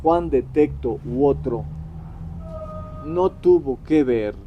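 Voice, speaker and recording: A man delivering scripted critical commentary like a lecture, deliberately and emphatically, pausing between short phrases. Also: mid-range voice, expressive, dull audio, a little hiss or hum. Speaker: historian-critic presenting a critique.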